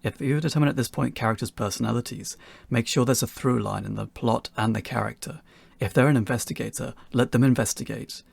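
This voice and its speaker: A man's voice: medium pitch, fairly quick, vocal fry, darkish tone, breathy edge. A man reading a scripted instructional talk for beginners as its presenter.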